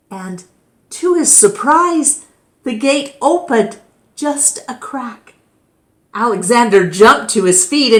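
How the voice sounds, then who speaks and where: A woman reading a children's story aloud expressively, with deliberate, dramatic phrasing. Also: fairly high pitch, a bit bright. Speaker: storybook reader, storytime host. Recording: echoey room.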